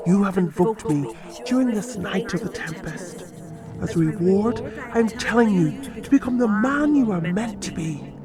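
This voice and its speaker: A man plays an ancient river goddess, giant and commanding, in a raised, high voice. The delivery is solemn and declamatory, like a deity in a dark storybook tale, with a crowd-like wash of sound behind. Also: lively intonation, moderate pace. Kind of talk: dramatic storybook character voice.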